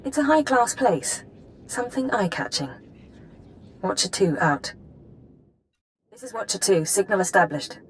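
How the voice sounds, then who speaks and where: An actress playing a businesslike intelligence handler in a video game, formal, precise and composed. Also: female voice, mid-range voice, sing-song, measured pace, long pauses, a little hiss or hum. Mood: brisk, dry. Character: crisp, businesslike female intelligence handler, coolly in control. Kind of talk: video game voice acting, mission briefing.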